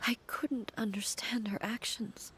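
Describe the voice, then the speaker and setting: A woman voicing a solemn, reserved female ruler in a video game, speaking slowly and softly in a measured way. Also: a little high, fairly even intonation, slightly rough, in a whisper, heavily acted, rough recording. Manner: slow, measured, soft-spoken.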